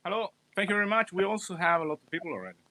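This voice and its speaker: A man's voice: high-pitched, lively intonation, racing, pushed and bright. A man from Italy, a guitarist, chatting loosely on a livestream and joking at his own expense. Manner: rambling, self-mocking, chuckling. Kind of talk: casual livestream chat.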